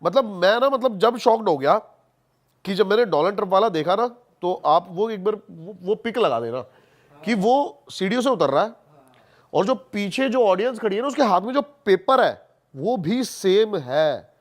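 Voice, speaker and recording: A man with a voice pitched a little high. He talks as a podcast guest, spinning stories and explanations in a casual way. He often repeats a word to drive it home. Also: animated, moderate pace.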